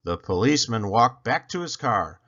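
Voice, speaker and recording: Animated, bright-voiced man reading a storybook. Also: mid-range voice, lo-fi sound. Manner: expressive, dramatic pauses, drawn-out refrain. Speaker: read-aloud storyteller. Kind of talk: animated children's picture book reading.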